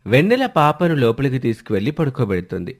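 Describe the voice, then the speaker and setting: A man reads scripted story narration in a medium-pitched voice. The intonation swings widely and keeps the telling animated. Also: fast talker.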